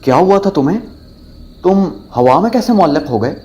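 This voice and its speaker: Man acting a bold young student, mellow voice. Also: sits high, animated, a little fast. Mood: alarmed. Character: bold, curious young male university student adventurer. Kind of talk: audio drama acting.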